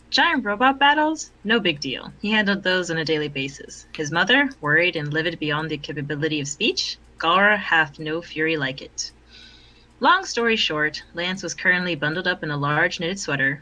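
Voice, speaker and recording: A woman reading fan fiction aloud as the narrator in an expressive storytelling style, recorded on an informal online voice chat. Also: deep voice, low-quality recording.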